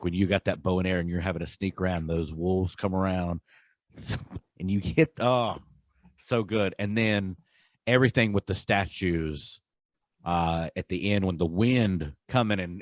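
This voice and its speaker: A man with a low voice talks casually as a podcast co-host. He is informal and emphatic, gushing with enthusiasm, and the sound is dry and close. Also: normal speed, dry recording.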